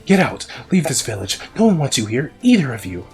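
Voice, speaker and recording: A man voicing a cruel boy bully who shouts and taunts. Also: low-pitched, fairly even intonation, fairly quick, soft background music, lightly acted. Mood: furious.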